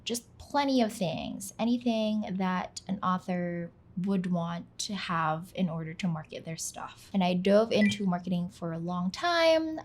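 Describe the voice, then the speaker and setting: A young woman from the Philippines, whose first language is Filipino, talking casually in a voice-over for her channel, chatty and self-deprecating, with plenty of "like.". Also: on the low side. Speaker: YouTuber, channel host. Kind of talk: casual talk to camera. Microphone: voice-over, not on camera.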